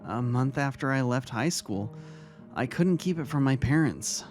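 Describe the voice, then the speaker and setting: A man acting a kindly, caring male vice-principal and mentor in a dramatic story reading, speaking gently and earnestly. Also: mid-range voice, lively intonation, moderate pace, close to an everyday voice. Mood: reflective, confiding. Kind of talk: dramatic reading of fan fiction. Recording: music under the voice.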